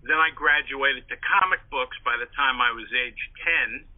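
A man's voice: mid-range voice, normal speed. An older man from the Northeastern United States, an interview guest telling anecdotes casually and repeating words as he goes. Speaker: interview guest, writer and historian. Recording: tinny, band-limited phone line.

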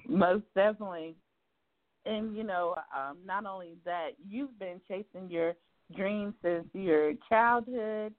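A woman's voice: medium pitch, slow pace. A woman from South Carolina hosts a talk show, enthusiastic and chatty as she asks her questions. Her voice comes through a narrow, phone-like line. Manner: enthusiastic, laughs often. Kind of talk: casual interview chat.

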